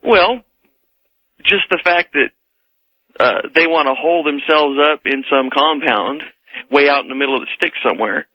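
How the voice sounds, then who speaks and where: An American man debating as a caller on a radio show, formal and emphatic but halting, heard over the telephone. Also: a little high, dull audio. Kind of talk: live phone-in debate.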